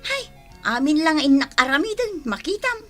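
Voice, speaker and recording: A woman's voice: high voice, big pitch swings, moderate pace, a bit bright, faint music behind. A woman with an Ilocano Filipino accent acting a gloating, meddling village wife in a radio comedy drama. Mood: irritated. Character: gloating, meddling village wife, scheming against rival vendors.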